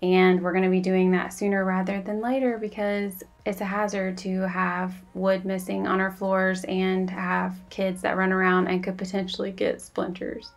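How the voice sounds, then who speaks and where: A woman's voice: medium pitch, flat delivery, normal speed, few pauses. A woman, chatting casually in a voice-over for her home vlog. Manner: chatty, confiding, says y'all. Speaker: vlogger.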